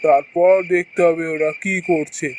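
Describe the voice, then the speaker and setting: A man's voice: a little high, steady tone, normal speed, darkish tone, slight background noise, lightly acted. A man voicing a greedy, bossy uncle in a fairy-tale cartoon, giving conspiratorial instructions.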